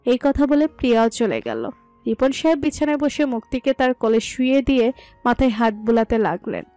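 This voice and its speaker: A woman's voice: a little high, sing-song, brisk, dark tone, dry recording, soft background music. A woman reading a scripted story as its narrator.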